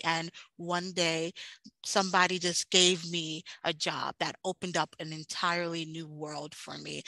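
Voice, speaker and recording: A woman's voice: lowish voice, sharp tone, no echo, low-quality recording. A woman on a video-call panel telling her own career story in a candid, upbeat way, with frequent ums.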